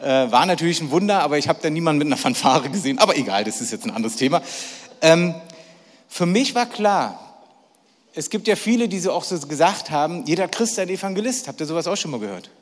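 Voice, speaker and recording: A middle-aged man preaches to a live church crowd and keeps cracking jokes and throwing questions at them. His voice sits a little high for a man. It rings with long reverb in a big hall. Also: animated, fast. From Berlin, Germany. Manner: chatty, jokey, asks the audience questions. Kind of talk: lively sermon to a live audience.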